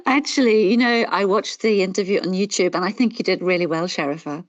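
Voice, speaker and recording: A woman interviewing a guest, asking scripted questions in a friendly, slow and deliberate way. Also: medium pitch, no room sound, highs cut off.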